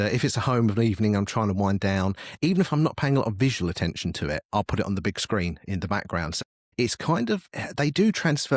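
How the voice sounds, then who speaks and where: A man's voice: on the low side, dry recording, clean studio sound. A man talking as a podcast guest, explaining things informally and thinking aloud in casual conversation. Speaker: podcast guest, media industry professional. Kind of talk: casual interview chat.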